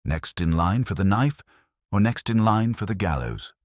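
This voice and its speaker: A man's voice: fairly low pitch, animated, normal speed, no room sound, studio quality, pitched above the performer's own voice, natural voice. A man voicing a large, imperious older detective in an audiobook, speaking formally and tersely. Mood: dry, suspicious. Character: large, brilliant, imperious older male detective, grumpy and deliberate.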